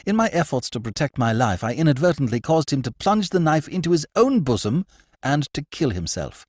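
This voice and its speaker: A man's voice: fairly high pitch, very animated, fairly quick, darkish tone, no room sound, studio quality, pitched above the performer's own voice. A man reading a story, voicing a shaken honest young man in earnest, old-fashioned formal speech. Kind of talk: audiobook character voice.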